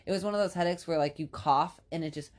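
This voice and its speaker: Low-voiced congested woman, raspy. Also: fast talker, a little mellow. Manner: rambling, filler-heavy storytelling. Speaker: podcast co-host. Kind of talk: casual chat.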